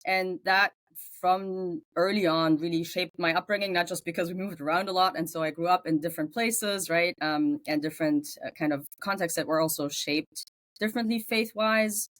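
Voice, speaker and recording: A lower-pitched speaker with a German accent talks in a reflective interview manner. The delivery is searching and unhurried, with many small pauses and fillers, heard in a dry, close recording. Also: female voice, fairly bright tone, no echo, poor audio. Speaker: guest theologian. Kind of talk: interview conversation.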